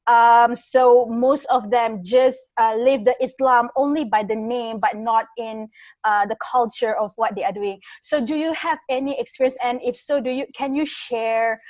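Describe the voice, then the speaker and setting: A woman's voice: fairly high pitch, steady tone, normal speed, a little mellow, dry recording. Hesitant woman asking a question, dry close sound. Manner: hesitant, many uhs. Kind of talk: question on a video call. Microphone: video call.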